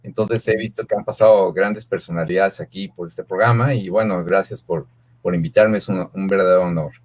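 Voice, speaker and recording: A man's voice: low voice, fairly even intonation, fairly quick, a little mellow. A man in his fifties from Mexico City with a Mexican accent, a swim coach answering interview questions in a rambling way with many fillers. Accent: Mexican Spanish. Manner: rambling, filler-heavy storytelling.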